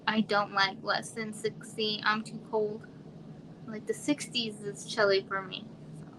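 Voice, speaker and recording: A woman from Arizona in her thirties, chatting casually on a home livestream in a quiet, soft voice that trails off and sometimes drops to a whisper. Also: mid-range voice, little pitch movement, measured pace. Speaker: livestream host. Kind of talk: casual live chat with viewers. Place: home room. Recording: noisy home livestream audio.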